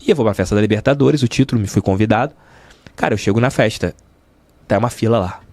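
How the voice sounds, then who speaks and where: A man's voice: on the low side, fast, darkish tone, dry recording. A middle-aged Brazilian man with a Rio de Janeiro state accent, telling stories casually and emphatically as an interview guest, repeating phrases for emphasis. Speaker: sports journalist, interview guest. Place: podcast studio.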